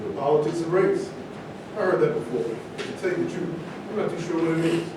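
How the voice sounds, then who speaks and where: A man's voice: animated, fairly quick, lightly acted. A man in a staged reading, playing a skeptical former star who needles the others. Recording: echoey room from far off, rumbling noise.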